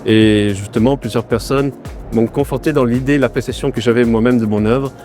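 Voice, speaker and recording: A man's voice: medium pitch, fairly even intonation, brisk, darkish tone, soft background music. A man, born in Argentina and raised in France, answering interview questions about his artwork with thoughtful, hesitant phrasing. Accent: no regional accent. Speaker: exhibiting artist, interview guest. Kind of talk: casual interview at an art fair.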